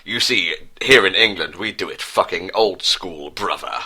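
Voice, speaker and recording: A man's voice: low voice, moderate pace, bright, forceful tone, breathy edge, full character voice. A man playing an angry, thuggish bar hooligan who makes threats in stilted broken English. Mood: threatening.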